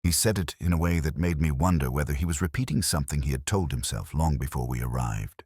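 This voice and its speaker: A very low, dry-recorded voice of a man acting as a brooding police inspector. He narrates a detective story in measured, reflective phrases. The delivery is literary and watchful, like a scripted audio drama. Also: a little mellow, husky, no room sound. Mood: quietly suspicious. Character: brooding, observant English male police inspector narrating his case.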